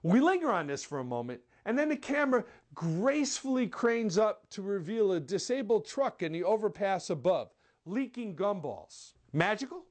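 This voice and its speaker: A film critic delivering a scripted movie review in an articulate, measured, wry manner. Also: medium pitch, very animated.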